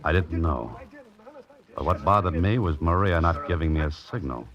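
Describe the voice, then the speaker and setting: Very deep, laconic American detective. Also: male voice, expressive, moderate pace, a crowd in the background. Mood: pensive. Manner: clipped, laconic, understated. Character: cool, wry amateur detective narrating his mystery. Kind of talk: 1940s radio drama narration and acting. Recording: dull old radio recording.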